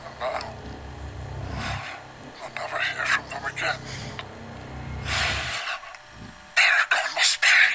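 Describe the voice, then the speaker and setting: An actor playing a grave, embittered scientist who survived, narrating a horror-game log in a measured, clinical way. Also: male voice, mid-range voice, very animated, very noisy. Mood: haunted, bitter. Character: grave, embittered male scientist, lone survivor warning of monsters.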